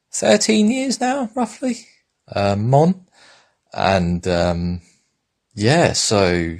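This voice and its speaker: A man as a rambling oddball, low creaky voice, very slow, hesitant, repetitive, comic character sample. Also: darkish tone, heavily acted. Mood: mellow, rambling. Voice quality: gravelly, husky.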